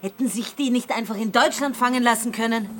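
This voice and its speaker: An actress playing a brisk, blunt female police investigator in a radio drama. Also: on the high side, background noise, natural voice.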